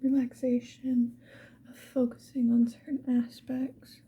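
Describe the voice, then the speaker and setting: A woman's voice: high voice, little pitch movement, unhurried, warm tone. Whispering ASMR woman, casual and playful. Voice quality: breathy, mostly unvoiced whisper. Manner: casual, playful, chatting to the viewer. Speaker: ASMR video creator. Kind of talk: whispered ASMR get-ready-with-me chat.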